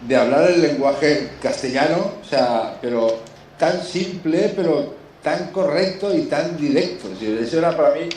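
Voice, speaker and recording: Man, accent from Spain, casual chat, enthusiastic, halting and repetitive, echoey, faint background noise. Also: on the high side, lively intonation, darkish tone. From Barcelona, Spain.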